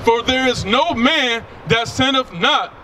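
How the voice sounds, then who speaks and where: A man reading Bible verses aloud outdoors, slow and deliberate. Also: very high voice, lively intonation, pushed and bright, recorded from a distance, slight background noise. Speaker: scripture reader. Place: outdoors by a street.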